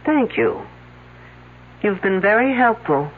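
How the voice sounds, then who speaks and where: An actress playing a sharp-tongued woman detective with a dry, sarcastic way of talking. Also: mid-range voice, very animated, slow, heavy background noise. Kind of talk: 1970s radio drama acting. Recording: tinny old radio broadcast.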